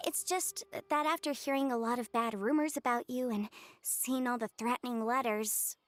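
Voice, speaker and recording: High-voiced, caring young sister played by an actress. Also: female voice, steady tone, moderate pace, no room sound, soft background music, close to an everyday voice. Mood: concerned, caring. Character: caring, straightforward sister, young woman, warmly protective. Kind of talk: video game character acting.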